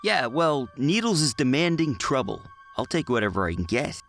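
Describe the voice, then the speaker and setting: A man's voice: medium pitch, very animated, normal speed, gravelly, a bit bright, soft background music. A man playing a nervy, stubborn teenage boy in a motion comic, hesitant and easily incredulous.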